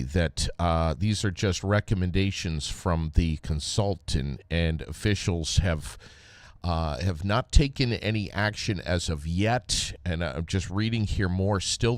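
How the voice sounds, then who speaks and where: A man's voice: deep voice, dry recording. A man co-hosting a news show, giving conversational commentary with hesitant phrasing and repeated words for stress. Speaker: radio news co-host.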